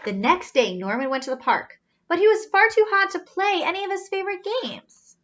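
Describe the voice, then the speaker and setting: Woman, children's storybook reader, high-pitched, swooping expressive delivery, talks directly to kids. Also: lo-fi sound. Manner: expressive, questioning, with gasps and drawn-out emphasis. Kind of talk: animated read-aloud with simple asides to children.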